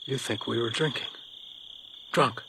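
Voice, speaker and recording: A man's voice: mid-range voice, measured pace, gravelly, bright, forceful tone, a little hiss or hum. An actor playing a stoic, blunt male detective in a radio crime drama, with dry, understated delivery. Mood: grim, resigned.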